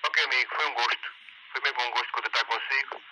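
A man chatting courteously and a little haltingly as a ham radio operator, heard through a two-way radio. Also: mid-range voice, fairly even intonation, bright, forceful tone. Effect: two-way radio transmission. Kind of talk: casual radio contact chat. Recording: noisy handheld radio speaker outdoors.

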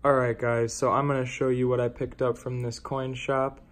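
A young man chatting casually as a coin-collecting vlogger, relaxed and rambling with filler words, filmed on a phone. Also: medium pitch, normal speed. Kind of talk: casual vlog chat. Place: car, then indoors at a desk.

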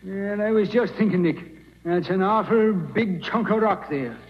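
A man's voice: sits high, a little slow. An actor playing a blunt, doubtful policeman in a 1940s radio drama, folksy and grumbling with hesitant starts. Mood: puzzled. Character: blunt, doubtful male police officer, detective's sidekick. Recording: muffled, faintly noisy 1940s radio recording.

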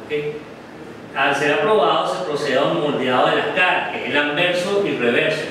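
A man's voice: fairly high pitch, pushed and bright. Man, classroom lecture, distant camera, strong room echo, background noise. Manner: unhurried, many "ok?" checks with the audience. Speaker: guest lecturer. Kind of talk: classroom presentation with slides. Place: darkened classroom with projector screen. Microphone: far camera. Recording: very echoey room from far off, noisy.

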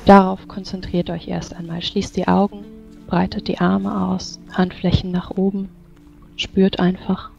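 A woman voicing a calm female mage who gives measured instructions in a tabletop game. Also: on the low side, gravelly, dull audio, faint music behind. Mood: calm, instructive.

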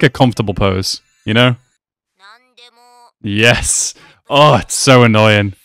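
A man's voice: mid-range voice, a bit bright, clear, resonant tone. A man in his twenties giving slangy, amused reaction commentary in a casual way, close to a desk microphone. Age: 20s. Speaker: reaction video host. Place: small indoor room.

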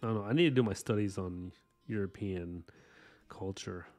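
A man's voice: low-pitched, normal speed, faint music behind. A man who grew up speaking Korean, chatting loosely with his live chat at an art desk at home while he paints, full of fillers and asides. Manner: relaxed, rambling, many fillers. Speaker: artist streamer.

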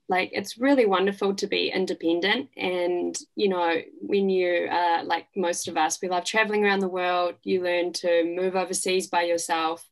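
Cheerful young New woman. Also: lowish voice. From New Zealand. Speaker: panel guest.

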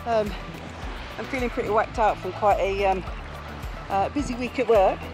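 A woman's voice: mid-range voice, normal speed, over music, rough recording. A middle-aged woman vlogging outdoors on a windy beach, talking upbeat and chatty to an action camera at arm's length. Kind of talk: casual vlog narration. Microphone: action camera held at arm's length.

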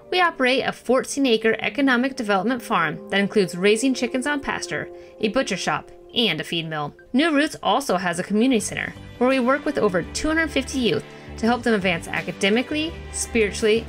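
Woman reading a scripted introduction. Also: fairly high pitch. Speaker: nonprofit co-founder. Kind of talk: scripted narration.

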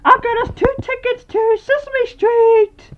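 A woman voicing a chirpy, trusting, excitable best friend in a homemade comedy skit. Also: very high voice, measured pace, dull audio. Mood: eager, cheerful.

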